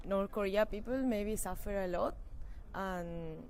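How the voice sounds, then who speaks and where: A woman's voice: medium pitch, a little slow, darkish tone, slight background noise. A young adult woman with an Argentine accent, giving hesitant, thoughtful answers in an informal interview. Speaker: master's student interviewee.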